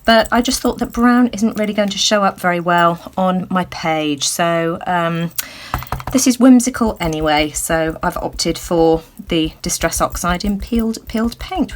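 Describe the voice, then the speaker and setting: A woman hosting a craft tutorial, thinking aloud with lots of ums and a slightly croaky voice. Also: fairly low pitch, slight background noise. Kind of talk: casual crafting demonstration. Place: indoors at a craft table.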